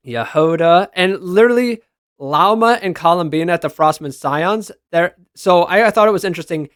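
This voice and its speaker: A man's voice: a little high, no room sound. Male game reviewer, upper-register voice, informal and enthusiastic fan delivery, very dry sound. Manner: informal, gushing fan talk. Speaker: video game reviewer and reaction host. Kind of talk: casual enthusiastic commentary over screenshots.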